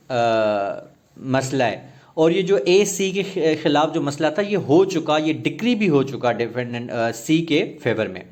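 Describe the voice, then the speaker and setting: A man with a Pakistani accent teaching exam preparation, reading questions and options aloud and explaining them step by step while mixing in English terms. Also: fairly high pitch. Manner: code-switches into English legal terms, paraphrasing step by step. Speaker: law exam tutor.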